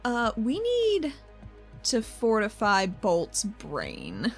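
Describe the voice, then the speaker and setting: A woman playing an earnest, frank female handler in a tabletop game, explaining herself in an informal, halting way. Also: a little high, huge pitch swings, husky, soft background music, exaggerated voice. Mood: earnest, awkward. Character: earnest, frank female handler defending her troublesome partner.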